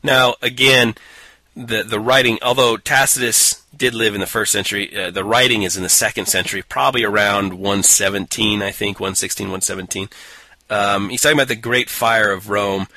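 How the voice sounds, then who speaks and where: A man's voice: on the low side, steady tone, moderate pace, sharp tone, no room sound, low-quality recording. A man co-hosting a casual podcast, picking apart a book with a sarcastic, mocking manner and frequent laughter. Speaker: podcast co-host. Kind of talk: casual chat reviewing a book.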